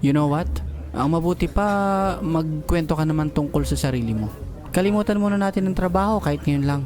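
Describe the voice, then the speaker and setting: A man's voice: fairly high pitch, fairly quick, darkish tone, lightly acted. A man playing an office boss with a Filipino accent in a romantic audio drama, businesslike but awkwardly flirtatious. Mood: casual, probing. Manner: businesslike, with smitten slips he covers up. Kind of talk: romantic audio drama acting, Tagalog-English mix.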